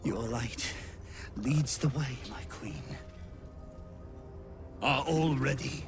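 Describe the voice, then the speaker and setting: An actor playing a fawning, unhinged cultist in a video game, halting and servile, often slipping into whispers. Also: male voice, mid-range voice, over music. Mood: obsequious. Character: fawning, unhinged male cultist devoted to his unseen queen. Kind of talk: dramatic video game acting.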